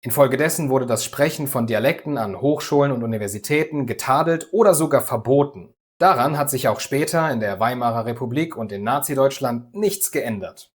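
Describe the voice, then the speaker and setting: A man from the Düsseldorf area hosting a language lesson, explaining calmly and clearly in a scripted way. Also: medium pitch. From Düsseldorf area, Germany. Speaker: language teacher, host.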